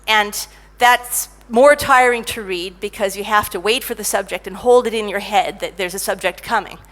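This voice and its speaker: Woman, conference talk, self-mocking, lively storytelling, medium pitch. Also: moderate pace. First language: English. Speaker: conference speaker, technical writer.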